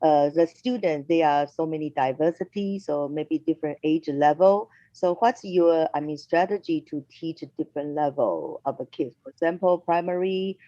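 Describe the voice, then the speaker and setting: A woman's voice: low voice, normal speed, few pauses, mellow, no room sound, muffled recording. A woman educator asking a workshop speaker questions over a video call, hesitant with many fillers.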